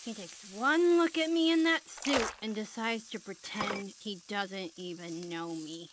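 A woman's voice: mid-range voice, measured pace, heavy background noise, lightly acted. A woman playing a sulky, angry teenage girl superhero in a cartoon game, bitter and dramatic. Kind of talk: animated film-style game dialogue. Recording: loud music behind voice.

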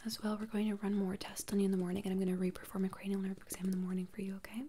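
A woman speaking in a breathy whisper, playing a doctor in an ASMR exam roleplay with gentle, reassuring step-by-step instructions. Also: mid-range voice, monotone, very fast, a little hiss or hum.

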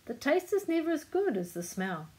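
A woman's voice: high-pitched, big pitch swings, normal speed, warm tone, pitched above the performer's own voice. A woman voicing a gloomy male philosopher in a storybook, dramatic and discouraging in manner. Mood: gloomy warning. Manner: dramatic, lamenting.

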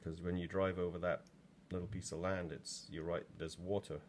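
Deep-voiced older english guy rambling on in his pottery studio, bit of room hum behind. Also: level tone, moderate pace. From England. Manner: rambling, chatty asides with many ums. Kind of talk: casual demo talk while working. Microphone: camera over his shoulder.

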